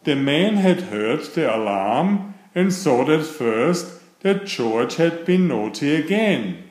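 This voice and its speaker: Slow, expressive male storybook reader. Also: a little high. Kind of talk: children's book read-aloud narration. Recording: roomy, echoing sound.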